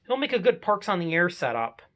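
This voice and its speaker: Higher-pitched American man, casual hobbyist presenter. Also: sing-song, fairly quick, fairly bright tone. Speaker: amateur radio hobbyist presenter. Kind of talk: casual vlog walkthrough of own equipment.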